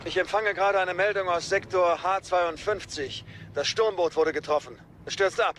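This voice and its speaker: An actor playing an efficient military commander in an audio drama, giving clipped reports. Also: male voice, high-pitched, normal speed, a bit bright, a little hiss or hum. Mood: businesslike.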